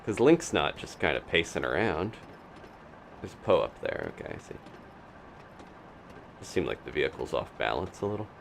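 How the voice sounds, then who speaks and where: Low-voiced man streaming a video game. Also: big pitch swings, normal speed, creaky, long pauses, a little hiss or hum. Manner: thinking aloud, talking to himself and his game character. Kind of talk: casual live gameplay commentary.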